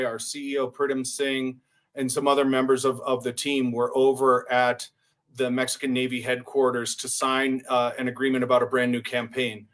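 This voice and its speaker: Even-toned man in his sixties. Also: medium pitch. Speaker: live show host. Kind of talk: live online presentation. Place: hotel room. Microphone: webcam on a video call.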